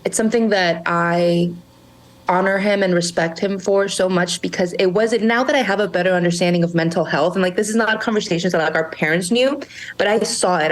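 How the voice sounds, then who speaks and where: A young woman with a somewhat low voice speaks as a guest on a podcast. She is earnest and reflective, often stopping to restart her sentences and filling pauses with "um" and "you know.". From Monterrey, Mexico. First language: Spanish. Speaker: guest, brand founder. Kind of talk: casual podcast interview.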